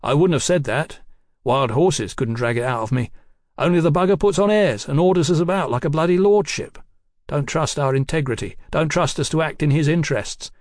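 A sly private detective from a storybook acted out by a male narrator. He needles his betters in cheeky street slang, pitched high and full of insolence. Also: brisk, a little mellow, dry recording, studio quality, close to an everyday voice. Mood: grudging, sly.

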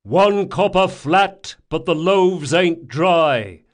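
A man voicing a loud male gang tough in an audiobook, shouting demands in drawn-out, rough street speech. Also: sits high, expressive, pitched above the performer's own voice. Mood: gruff demanding.

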